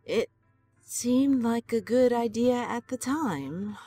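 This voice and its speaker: An actress playing an elderly grandmother and wife, gentle and earnest, in an audio drama. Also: a little high, lively intonation, takes it slowly, breathy edge, soft background music, heavily acted. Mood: troubled. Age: older. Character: elderly grandmother, lonely and worried.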